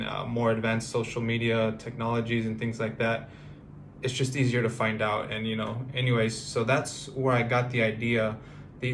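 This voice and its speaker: A man in his thirties from the United States, talking through a personal vlog in a halting, reflective way with many fillers and restarts. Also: medium pitch, a little hiss or hum. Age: 30s. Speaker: vlogger.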